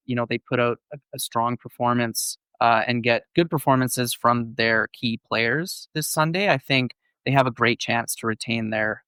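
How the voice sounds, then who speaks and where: A man's voice: mid-range voice, fairly bright tone, clear, resonant tone, dry recording, rough recording. A man co-hosting a casual sports podcast, explaining things fluently. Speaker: podcast co-host.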